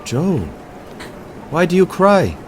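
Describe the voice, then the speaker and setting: A man playing a gentle, courteous, lovestruck German professor in an audio play, with a German accent and formal, halting phrasing. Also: high voice, expressive, a little hiss or hum. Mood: tender, elated.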